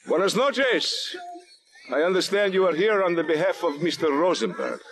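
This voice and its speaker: An actor playing a suave older retired colonel in a video game, formal and courteous. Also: male voice, high-pitched, animated, noisy background. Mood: courtly. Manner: formal, courteous, measured wording. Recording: party crowd chatter behind.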